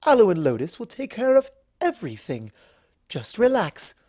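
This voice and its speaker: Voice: mid-range voice, big pitch swings, normal speed, a little mellow, pitched above the performer's own voice. A narrator voicing a refined, eager-to-please female unicorn in a storybook reading, with prim, gushing politeness. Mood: breezy, assured. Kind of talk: storybook character voice.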